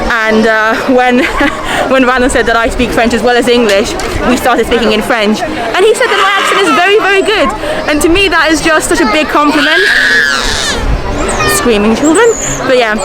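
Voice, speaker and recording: Woman, chatty vlogger, slightly high voice, loud music and crowd behind. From Switzerland. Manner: chatty, gushing, frequent laughter. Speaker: theme park vlogger. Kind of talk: casual vlog chat to camera. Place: busy theme park with music and crowds.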